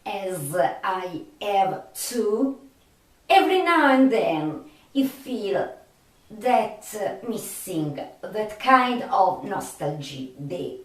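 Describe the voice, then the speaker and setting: A woman with a non-native English accent talking casually to viewers as a film fan, in a halting way with drawn-out pauses. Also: mid-range voice. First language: not English. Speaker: video host, film fan. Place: home studio. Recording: echoey room, distant microphone.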